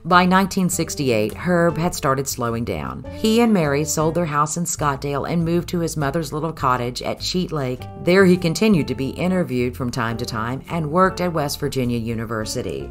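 A woman's voice: deep voice, lively intonation, normal speed, few pauses, faint music behind. A woman reading scripted documentary narration as the narrator.

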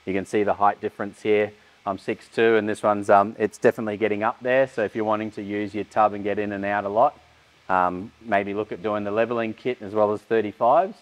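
Man, thirties, low voice, casual, workshop walkaround, um-filled. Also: steady tone, fairly quick, poor audio. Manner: casual, informal, with frequent "um" fillers. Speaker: workshop host. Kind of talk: walkaround vehicle tour. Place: large vehicle workshop indoors.

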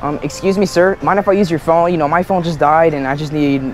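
Fast-talking young man pranking in a store. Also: a little high, fairly even intonation. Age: young adult. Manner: joking, begging in a pleading tone. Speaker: prank vlogger. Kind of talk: prank vlog, casual banter. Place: big retail store, indoors. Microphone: handheld action camera.

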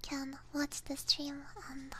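A woman whispering softly and soothingly in ASMR livestream chat, very close to a binaural microphone. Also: high voice, completely flat delivery, slow, a little hiss or hum. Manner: soft, breathy, soothing whispering.

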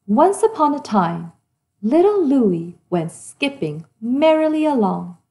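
Slow, expressive woman reading to children. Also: sits high, creaky. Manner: deliberate, addressing young listeners. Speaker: children's read-aloud host. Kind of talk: expressive storybook narration.

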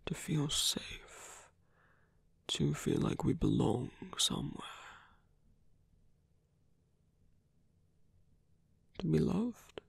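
A man playing a seductive, calm villain leader in an ASMR roleplay, breathy and intimate as he slowly coaxes a captive hero. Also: on the high side, dry recording, heavily acted. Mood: tender, persuasive. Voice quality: breathy, husky, often whispering. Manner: slow, intimate, persuasive. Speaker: voice actor. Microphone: close, dry microphone.

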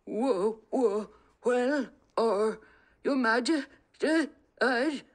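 A woman's voice: mid-range voice, expressive, takes it slowly. A woman voicing a timid, frightened old farmer and father who stammers and babbles. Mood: stammering.

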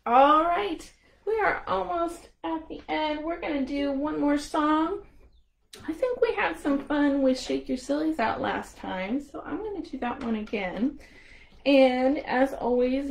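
A woman's voice: high-pitched, a little slow, vocal fry. An American woman hosting a storytime for young children as a librarian, playful and encouraging, speaking in simple phrases.

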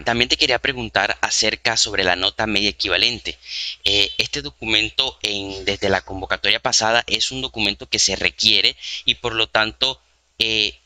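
A man's voice: lowish voice, sharp tone, clear, resonant tone, no room sound. A man in his twenties hosting an online interview in Spanish, speaking into a headset. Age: 20s. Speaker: host interviewer. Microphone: headset on video call.

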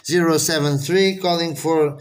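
A man's voice: a little high, fairly even intonation, moderate pace. A man with a Portuguese accent, speaking English as a second language, making a radio contact and spelling things out phonetically. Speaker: amateur radio operator.

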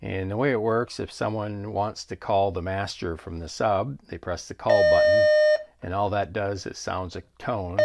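Low, gravelly man demonstrating a product. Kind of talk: casual product demonstration, explanatory.

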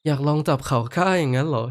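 A man voicing a kindly horned giant lord who speaks softly, comforts the listener, and teases playfully in a roleplay audio drama. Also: fairly high pitch. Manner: soft-spoken, comforting, playfully teasing. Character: kindly male giant lord with horns, gentle and teasing.

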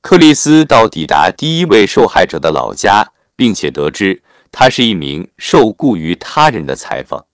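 A man's voice: lowish voice, big pitch swings, moderate pace, few pauses, no echo, studio quality. A man reading a scripted film recap as narrator, in a formal storytelling way. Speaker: narrator of film plot summaries.

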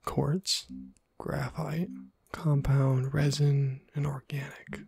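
Guy doing asmr gaming commentary, really breathy and hushed, crackly fry, kinda thinking out loud. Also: medium pitch, little pitch movement, slow pace, dry recording, studio quality. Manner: hushed, musing to himself. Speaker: gaming video host. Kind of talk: ASMR gameplay commentary, soft-spoken to whispered.

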